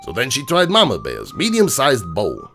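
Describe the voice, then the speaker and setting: A man playing a gruff, streetwise crook horse uncle who tells bedtime stories in a slangy, cynical, digressing way. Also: medium pitch, wildly swooping pitch, moderate pace, sharp tone, heavily acted. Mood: wry, matter-of-fact. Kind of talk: dramatic reading of a comic bedtime story. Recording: music bed behind the voice.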